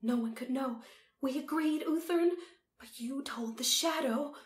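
A woman's voice: high-pitched, measured pace, echoey room, voice pushed up. A woman voicing a drunken, crazed old Empress in an audiobook story, whimpering, crooning and cackling. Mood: defensive.

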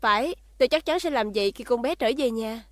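A woman's voice: on the high side, expressive, fairly quick, noisy background, lightly acted. A woman voicing a concerned, strict mother in a cartoon dub, with a motherly manner.

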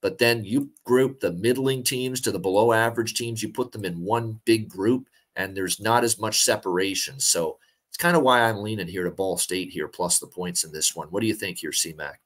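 A young man with a fairly low voice breaks down a matchup over a video call. He talks steadily and freely, padding his points with filler words as he thinks aloud. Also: moderate pace. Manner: steady, talkative, many "uh" fillers. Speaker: sports betting podcast co-host. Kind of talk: casual sports betting analysis. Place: home room, indoors.

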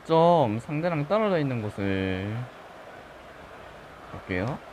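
A man with a regional Korean accent chatting casually over a football video game, his voice a little husky from just waking up, breaking into loud excited shouts. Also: medium pitch, slow pace, background noise. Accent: regional Korean dialect. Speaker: gaming channel host. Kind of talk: live gameplay commentary. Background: game music.

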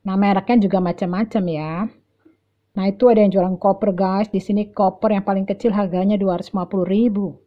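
A woman's voice: medium pitch, fairly even intonation, a little fast, lo-fi sound. A middle-aged woman giving a chatty, casual walk-and-talk shopping vlog, addressing viewers directly and often repeating words. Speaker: vlogger. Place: large indoor shopping mall.

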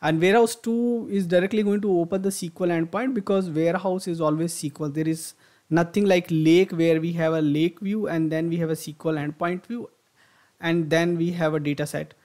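A man narrating a screen-recorded software tutorial, thinking aloud with frequent fillers and restarts as he clicks through steps. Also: fairly high pitch, steady tone, a little fast. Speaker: software instructor.

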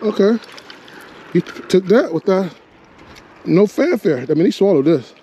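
A man's voice: sits high, big pitch swings, moderate pace, warm tone, lo-fi sound, a little hiss or hum. A man fishing from a rocky shore outdoors, talking to himself and to the fish in casual running commentary, picked up by a body-mounted action camera. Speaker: fishing vlogger.

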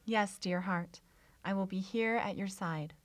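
An actress playing a mysterious, beguiling young woman healer in a radio drama, with measured, enigmatic, old-fashioned phrasing. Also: medium pitch, no room sound, natural voice. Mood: soothing. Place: small indoor radio studio. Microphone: shared vintage-style studio mic on stand.

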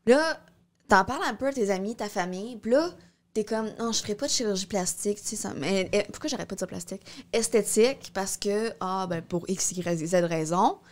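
A woman's voice: mid-range voice, a little fast. A woman in her twenties speaks with a Québécois French accent in a casual discussion. She is informal and a little hesitant, slipping English words into her sentences. She is recorded on a close microphone in a small studio. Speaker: podcast co-host. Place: small indoor podcast studio. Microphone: close studio microphone on boom arm.

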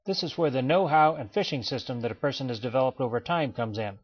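A man giving a scripted voice-over for a training slideshow, in a measured, explanatory way. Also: medium pitch, slightly rough. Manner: measured, even explanatory delivery. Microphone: voice-over, not recorded in pictured place. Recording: dull lo-fi voice-over.

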